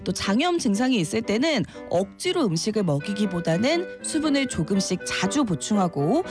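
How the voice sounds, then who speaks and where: A woman reporter reading short news items on a radio show, clear and lively, with chatty asides. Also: medium pitch, normal speed, music in the background.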